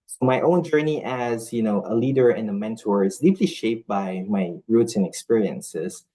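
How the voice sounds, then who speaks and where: A man's voice: lowish voice, moderate pace, mellow, rough recording. A man born in the Philippines, whose first language was not English, giving a conference talk on nursing mentorship in an earnest way, with many fillers and restarts. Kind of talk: conference talk with live audience polls.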